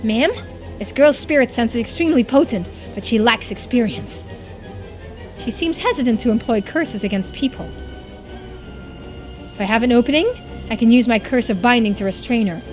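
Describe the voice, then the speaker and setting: A woman's voice: fairly high pitch, normal speed, pauses often, a little mellow, soft background music, voice pushed down, lightly acted. A woman voicing a serious, deferential male subordinate in a video game, speaking formally and carefully. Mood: cautious, serious.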